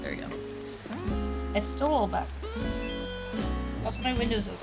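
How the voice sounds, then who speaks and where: A woman's voice: on the high side, moderate pace, gravelly. Young woman streamer, kinda scatterbrained, lots of ums, bit of a cold, game music going in the back. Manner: soft laughs, reads and answers chat. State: has a mild cold. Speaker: livestreamer. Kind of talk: casual live-stream chat while playing a game. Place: room at home with the window open.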